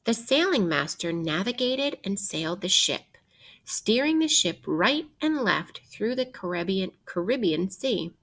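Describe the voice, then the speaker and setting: A woman teacher reading a picture book aloud to children in a deliberate, instructive way, posing questions as she goes. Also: mid-range voice, big pitch swings, fairly bright tone.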